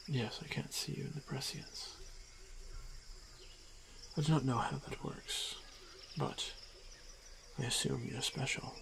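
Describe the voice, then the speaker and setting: Orc warrior , male performer: confident hunter, slow solemn delivery with gravel, fantasy roleplay audio over outdoor night ambience. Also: medium pitch, steady tone, background noise. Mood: calm, reassuring. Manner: measured, halting, terse phrases with solemn pauses.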